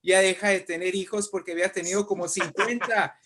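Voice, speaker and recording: A man's voice: sits high, fairly even intonation, a little fast, a crowd in the background. A man with a Mexican accent, a guest writer telling anecdotes in an interview, hesitant and repeating his words.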